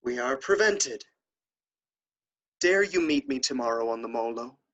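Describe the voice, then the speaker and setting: A man's voice: medium pitch, animated, a little slow, fairly bright tone. A man playing a proud, jealous young nobleman in a period audio drama, with formal, dramatic archaic speech. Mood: challenging.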